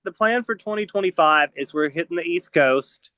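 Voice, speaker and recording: Fairly high-voiced hesitant Georgia man. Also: normal speed, sharp tone, no echo. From Lawrenceville, Georgia. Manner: hesitant, many likes and ums. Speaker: band member guest. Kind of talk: casual interview chat.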